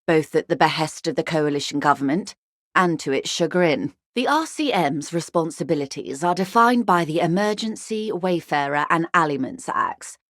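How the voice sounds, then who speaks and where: A woman's voice: low voice, lively intonation, moderate pace, a bit bright, no room sound, clean studio sound. An actress playing a wealthy, worldly older woman in a video game, articulate, arch and wryly condescending. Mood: dry, lecturing. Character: wealthy, worldly older woman, urbane, wry, intellectually vain. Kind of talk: video game character dialogue.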